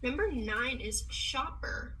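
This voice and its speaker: A woman teacher giving a child a one-on-one reading and spelling test over a video call, praising warmly and reading questions aloud slowly. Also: high-pitched, lively intonation, slight background noise. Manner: encouraging, upbeat praise; reads questions and answer choices aloud slowly. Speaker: teacher giving a student an assessment. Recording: voice a bit far from the mic.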